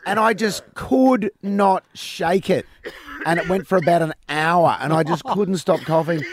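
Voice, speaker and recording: A middle-aged man co-hosting breakfast radio, slightly hoarse from a cough, telling jokey self-mocking stories. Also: fairly high pitch. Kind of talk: casual radio banter. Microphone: studio microphone.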